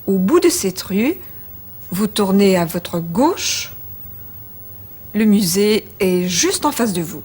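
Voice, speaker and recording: A woman presenting a language course, reading phrases slowly and clearly as models to repeat. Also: medium pitch, sing-song, darkish tone. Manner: clear, deliberate model pronunciation. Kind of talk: slow scripted teaching narration.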